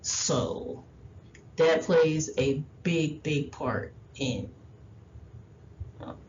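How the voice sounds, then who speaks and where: A woman's voice: low voice, extremely slow, darkish tone. A woman whose first language is Haitian Creole, giving parenting advice in an informal presentation, rambling with lots of fillers and restarts. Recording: dull lo-fi recording with faint noise.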